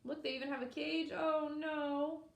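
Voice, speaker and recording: A woman preschool teacher reading a story aloud to young children, expressively, pausing to explain and ask simple questions. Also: high voice, level tone, creaky. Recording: echoey room.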